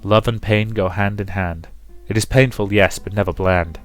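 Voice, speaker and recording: A man's voice: lowish voice, fairly even intonation, moderate pace, soft background music, natural voice. A man voicing an exasperated, lovesick rake with wounded pride, speaking dramatically in a visual novel. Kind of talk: visual novel character reading.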